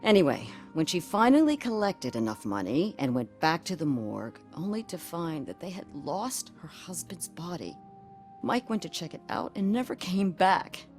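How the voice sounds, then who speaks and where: A worried wife in a video game scene. She has a slightly low voice and a blunt, exasperated way of speaking, with faint music underneath. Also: big pitch swings, moderate pace, darkish tone. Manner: blunt, exasperated, plain-spoken. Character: worried, bitter wife of a missing policeman.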